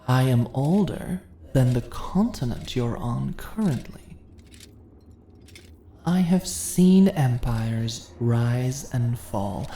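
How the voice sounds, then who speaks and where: A woman playing an ancient, seductive goddess in an ASMR fantasy roleplay, speaking in a theatrical, deliberate, condescending yet coaxing manner. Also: rumbling low, mellow, full character voice. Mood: smug, commanding. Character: ancient seductive goddess, imperious, teasing, persuasive. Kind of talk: ASMR fantasy roleplay, intimate dramatic monologue. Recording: roomy echo, audible ambient noise.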